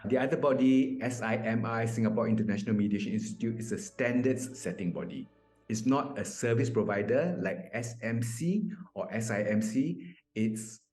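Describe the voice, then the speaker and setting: A man's voice: on the low side, warm tone. A man in his fifties from Malaysia answering interview questions conversationally, explaining things with asides and self-corrections. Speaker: interview guest, mediator. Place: small indoor room. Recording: echoey room on video call.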